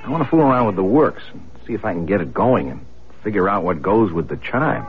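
A man's voice: lowish voice, lively intonation, fast, close to an everyday voice. An actor playing an easygoing, curious young husband and father in a radio drama, with a casual, musing manner. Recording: dull lo-fi radio broadcast, faint noise.

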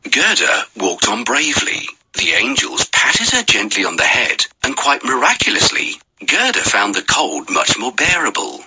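A man narrating a children's story in a dramatic, expressive, scripted storytelling manner. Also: medium pitch, moderate pace, breathy edge, rough recording.

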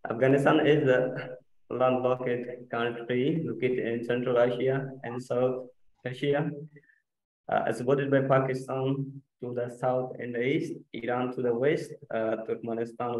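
Halting man presenting slides, non-native English. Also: medium pitch, little pitch movement, a little mellow. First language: not English. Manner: hesitant, many fillers and restarts. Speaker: student presenter. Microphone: video call. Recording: muffled, echoey video call audio.

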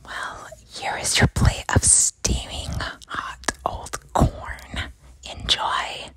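A woman's voice: a bit bright, no room sound. Woman acting a whispering, timid young waitress. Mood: resigned politeness. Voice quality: breathy whisper. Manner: hesitant, stammering, slow. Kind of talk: scripted ASMR comedy roleplay.

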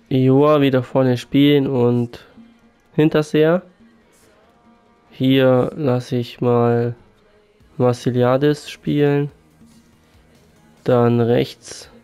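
Sick man with a flat, dark voice. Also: mid-range voice, clear, resonant tone. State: sick, sore throat. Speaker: gaming YouTuber. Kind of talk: casual game commentary. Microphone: USB condenser mic. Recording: faint game music behind.

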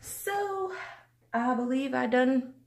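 A woman's voice: sits high, expressive, slow pace. Young woman chatting casually in her kitchen. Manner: chatty, rambling with many ums. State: hot and sweaty. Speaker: vlogger. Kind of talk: casual cleaning vlog chat. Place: home kitchen. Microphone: phone camera close up.

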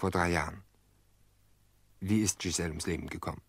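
An actor in a radio play voicing an insistent widower, probing and pressing for the truth. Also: male voice, low voice, fairly even intonation, a little fast, husky, no echo. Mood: brooding, probing. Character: insistent grieving widower pressing his sister-in-law for the truth. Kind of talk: dramatic radio play acting.

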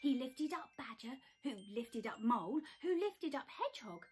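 A young woman reading a children's storybook aloud in a theatrical, dramatic, expressive style in a small room. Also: sits high, normal speed, soft background music. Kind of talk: expressive scripted storybook narration. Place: small decorated room at home.

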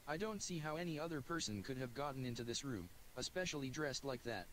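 A synthetic male voice narrates a visual novel in a flat, even read. Its pitch is mid-range, with a faint hiss underneath. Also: fast talker, low-quality recording. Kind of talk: text-to-speech game narration.

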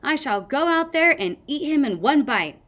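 A woman voicing a sweet, trusting young female dragon who hams it up as a boastful queen, loud and high. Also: sing-song, moderate pace. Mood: fierce. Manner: hammy, playing a boastful queen.

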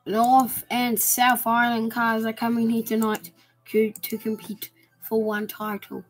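A woman's voice: on the high side, completely flat delivery, a little slow, soft background music, low-quality recording. A woman giving casual, eager commentary on a racing game and building hype with questions. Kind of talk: casual hobby race commentary.

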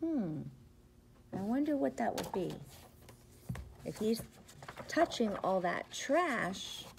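Very unhurried, animated woman reading to children. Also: medium pitch, gravelly, noisy background. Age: middle-aged. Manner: expressive, rhythmic, deliberate sing-song phrasing for young children. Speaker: preschool teacher reading aloud. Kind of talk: children's picture-book read-aloud. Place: indoors, school classroom. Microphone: device camera at about arm's length.

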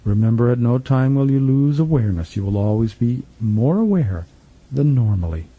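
A man leading a guided meditation as a spiritual teacher, gently instructing in a soothing, repetitive manner with trailing phrase endings, recorded in a studio. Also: medium pitch, animated, normal speed, husky, lo-fi sound. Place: professional studio.

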